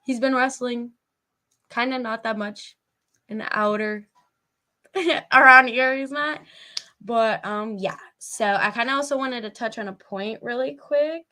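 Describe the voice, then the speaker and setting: A woman's voice: on the high side, measured pace, sharp tone. A woman hosting a casual live-stream interview, chatting informally with her guest and audience. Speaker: podcast host and interviewer.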